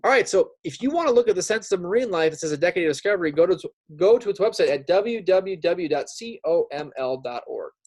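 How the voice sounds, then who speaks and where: A man's voice: high-pitched, lively intonation, fast talker, few pauses. A man teaching young students in an informal read-aloud style, chatty and often checking in with them. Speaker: elementary school teacher. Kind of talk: read-aloud lesson with casual asides.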